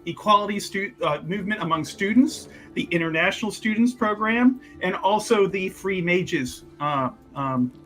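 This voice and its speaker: Higher-voiced man, rambling over faint music. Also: lively intonation, moderate pace, few pauses, fairly bright tone, low-quality recording. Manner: rambling, hesitant, searching for words. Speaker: tabletop roleplaying player. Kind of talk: casual unscripted character introduction.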